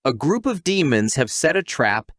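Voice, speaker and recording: A man's voice: medium pitch, sing-song, moderate pace, fairly bright tone, no echo, clean studio sound. A man reading a scripted story recap as a narrator.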